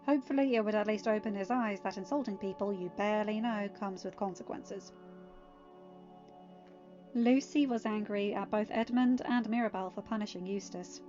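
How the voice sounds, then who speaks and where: A woman's voice: mid-range voice, moderate pace, mellow, muffled recording. A woman reading as a podfic storyteller. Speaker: podfic narrator. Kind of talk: scripted story narration. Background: faint music.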